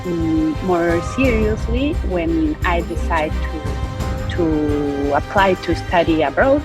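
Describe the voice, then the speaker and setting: A woman speaks carefully and slowly. Her voice is somewhat low. Music plays clearly behind her. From Mexico. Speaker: English learner. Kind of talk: personal testimony.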